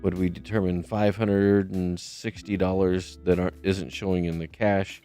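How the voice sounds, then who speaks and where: A man chatting casually as a co-host on a live stream, explaining things plainly and calmly. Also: sits low, normal speed, faint music behind.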